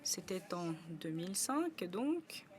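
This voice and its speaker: A woman doing simultaneous interpretation at a conference, a steady relay full of brief hesitations. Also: on the low side, takes it slowly, slight background noise.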